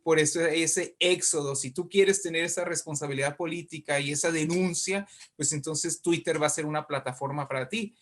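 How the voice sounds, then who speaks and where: Higher-voiced man chatting as a guest. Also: steady tone, moderate pace, hardly any pauses, a bit bright. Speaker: invited guest, writer and poet. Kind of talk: casual interview conversation.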